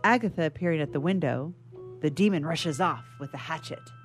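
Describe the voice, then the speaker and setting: A woman with a slightly low voice narrates scripted stage directions. Her reading is formal and measured. Music sits behind the narration.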